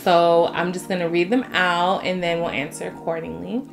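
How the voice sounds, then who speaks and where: Animated young woman chatting. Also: fairly low pitch, moderate pace, gravelly, soft background music. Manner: animated storyteller, laughs and curses freely. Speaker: co-host of couple channel. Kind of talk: casual couple Q&A chat.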